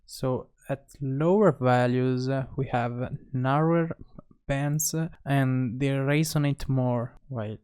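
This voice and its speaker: A man narrating a software tutorial as an instructor, speaking haltingly and pausing between short phrases. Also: mid-range voice, clear, resonant tone.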